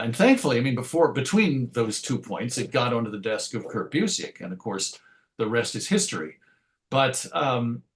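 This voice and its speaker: Middle aged guy in an interview, medium pitch, thoughtful and well spoken, kinda self-deprecating. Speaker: interview guest, writer and radio show host. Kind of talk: reflective conversational interview.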